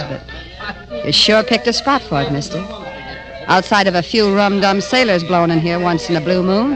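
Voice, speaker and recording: A woman's voice: on the low side, natural voice, rough recording. An actress playing a brash, friendly bar girl with a folksy, slangy drawl in 1950s radio drama. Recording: old radio drama, music behind.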